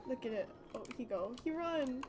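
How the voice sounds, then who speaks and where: A woman's voice: sits high, animated, normal speed. A woman livestreaming a game with casual, playful, rambling commentary. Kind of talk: casual live gameplay commentary. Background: game music.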